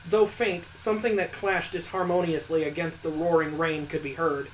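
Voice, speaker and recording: Guy w/ a high voice doing a visual novel lets play, sarcastic asides, bit of background noise. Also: fairly even intonation, normal speed, low-quality recording. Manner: sarcastic, incredulous commentary. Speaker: video game let's player. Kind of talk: reading a visual novel aloud with joking asides.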